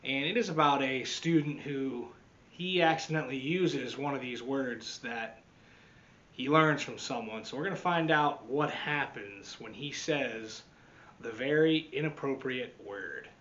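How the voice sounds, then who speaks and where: A man reading a picture book aloud to children as a teacher, expressively, with dramatic swings and deliberate pauses. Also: medium pitch, a bit bright, dull audio. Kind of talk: animated children's storytime read-aloud.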